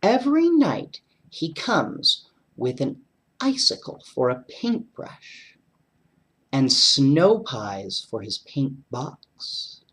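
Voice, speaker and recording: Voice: medium pitch, wildly swooping pitch, very slow, fairly bright tone, husky, full character voice. A storyteller voicing a teasing boy who spins imaginative tales in a bedtime storybook. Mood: hushed storytelling.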